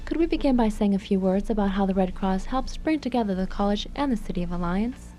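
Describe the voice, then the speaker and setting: A woman reading scripted lines as a radio host, in a formal, measured way. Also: medium pitch, animated, mellow. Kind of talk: scripted college radio interview and announcing.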